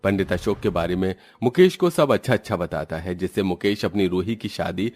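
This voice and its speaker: A man's voice: low-pitched, lively intonation, a little fast, darkish tone, soft background music. A man narrating a scripted cartoon horror story as the storyteller.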